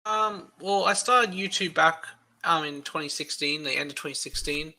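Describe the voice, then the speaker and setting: A man's voice: on the high side, normal speed, pushed and bright. An Australian man who is a guest on a podcast, talking casually and rambling through long explanations.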